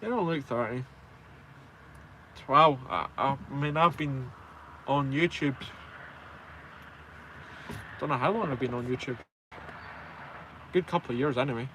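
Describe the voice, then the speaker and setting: Scottish man, 20s, mid-pitched voice, informal live-streamer chat with self-mocking banter, some vehicle noise behind. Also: a little slow, long pauses, fairly bright tone, rough recording. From Scotland. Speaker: truck driver and live stream host. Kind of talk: casual live stream chat, answering viewers' comments.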